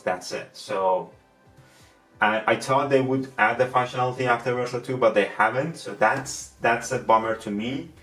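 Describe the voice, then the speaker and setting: A man with a Persian accent, a non-native English speaker, giving a casual gadget review in a halting way that often repeats words and restarts sentences. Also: on the low side, a bit bright. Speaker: tech reviewer. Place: room at a desk, indoors. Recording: roomy, distant mic with faint music.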